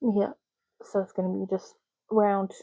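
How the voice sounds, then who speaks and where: A soft-spoken woman doing an ASMR roleplay as a clerk, explaining gently and unhurriedly in short, broken phrases. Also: mid-range voice, flat delivery, unhurried, no echo, dull audio. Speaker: ASMR creator playing an ID card clerk.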